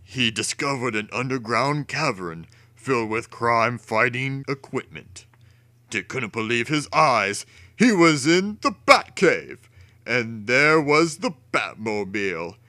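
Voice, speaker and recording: A man's voice: mid-range voice, very animated, a little slow, pushed and bright, no room sound. A man giving a theatrical, over-emphatic dramatic reading of a children's storybook. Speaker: storybook reader.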